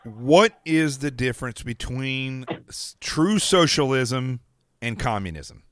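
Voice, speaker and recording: A man with an American accent hosting a podcast, talking in a casual, rambling way with restarts and quick asides. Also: mid-range voice, lively intonation, no room sound, studio quality. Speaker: podcast host.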